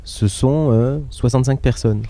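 Man with a very dark, mellow voice. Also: medium pitch, moderate pace. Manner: guiding questions, sums up for listeners. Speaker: travel show host. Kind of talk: casual radio-style interview. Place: outdoors in a public garden.

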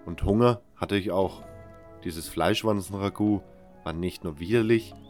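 A man playing a wary, brooding nameless convict who narrates a fantasy audio drama in a measured way. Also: deep voice, a little mellow, faint music behind. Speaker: first-person narrator.

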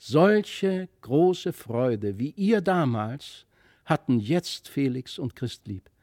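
A man's voice: medium pitch, big pitch swings, slow pace, a little mellow, no room sound, studio quality. A man narrating a literary fairy tale expressively, as an audiobook reader.

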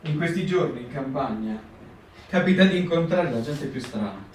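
A man voicing a partisan calmly recounting the fugitives met in the countryside. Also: a little high, normal speed, slight background noise, close to an everyday voice. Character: male partisan describing fugitives in hiding. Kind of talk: stage reading for radio. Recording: echoey room, distant mic.

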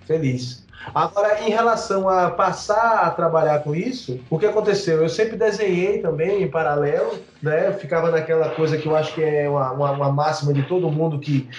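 A man's voice: fairly high pitch, fairly quick. A man, casually reminiscing as an interview guest, chatty and repeating words. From Salvador, Brazil. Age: 50s. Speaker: interview guest, comics artist. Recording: dull, echoey room sound.

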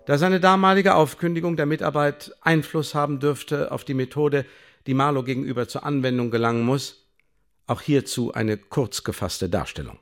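An actor playing a coolly bureaucratic secret service officer in a radio play, speaking in measured, formal officialese. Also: male voice, mid-range voice, soft background music, natural voice. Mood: dry, official. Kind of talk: radio drama, dictated official report.

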